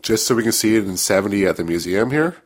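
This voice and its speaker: Picture a man with a very low voice, stuffed up and a bit sick. He rambles along in a relaxed, slangy way, full of fillers, chatting as a podcast co-host. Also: fast talker. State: congested, a little sick. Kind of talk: casual chat.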